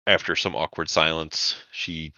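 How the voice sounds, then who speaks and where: A man narrating a tabletop roleplaying session as game master, in a halting, informal storytelling manner. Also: a bass voice, little pitch movement, bright, forceful tone, no echo.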